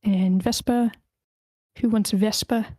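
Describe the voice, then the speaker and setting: A woman's voice: mid-range voice, steady tone, slow pace, warm tone, dry recording, clean studio sound. A woman casually hosting an online event, chatty and informal, often thinking aloud. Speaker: host of an online script table read.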